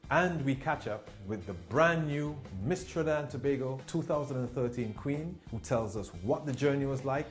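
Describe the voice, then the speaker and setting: A man's voice: mid-range voice, normal speed, few pauses. A man presenting a scripted magazine programme in a narration style. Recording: music bed under voice. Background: music.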